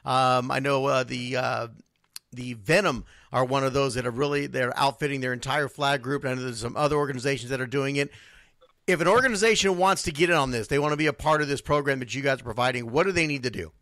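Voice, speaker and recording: A man's voice: mid-range voice, fast talker, fairly bright tone, no echo. A man hosting a sports radio show, talking conversationally with a guest.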